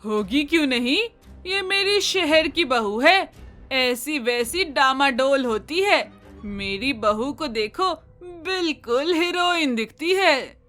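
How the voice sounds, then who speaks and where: A woman voicing a bossy, proud older village mother in an animated moral story, speaking emphatically. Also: high voice, slow pace, soft background music, heavily acted. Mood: proud boasting. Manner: emphatic, scolding, matter-of-fact village talk.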